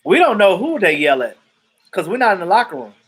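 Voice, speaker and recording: A man in his thirties hosts a live sports stream and talks to his viewers in a loose, unscripted way. He is blunt and outspoken and swears freely, and his voice sits a little high for a man. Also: sing-song, brisk, a bit bright, husky, low-quality recording. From Jamaican family roots. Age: 30s. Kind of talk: casual sports chat reading viewer comments.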